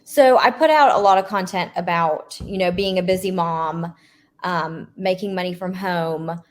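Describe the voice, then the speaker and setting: A woman who is a mother talks as a guest in a relaxed interview. Her voice sits a little low, and her speech is chatty and loose, full of "um" and "like". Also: moderate pace. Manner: chatty, many fillers like "um" and "like". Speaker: guest, mother who earns money from home. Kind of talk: casual interview chat.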